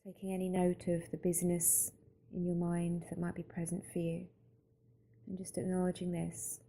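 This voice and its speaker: A woman guiding a mindfulness meditation in a calm, gentle, unhurried manner with long pauses between phrases. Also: on the low side. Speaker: meditation guide. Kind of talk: slow guided mindfulness meditation narration.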